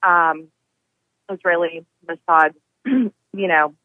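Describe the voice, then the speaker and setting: A woman's voice: lowish voice, takes it slowly, no room sound. A woman from Texas calling in to a talk show, hesitant and full of fillers. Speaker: caller. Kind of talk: phone-in question. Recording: tinny phone line.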